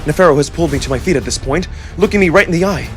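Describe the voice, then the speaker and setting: A man narrating a first-person story in a theatrical, expressive, scripted style. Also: a little high, very fast, soft background music. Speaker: narrator and voice actor.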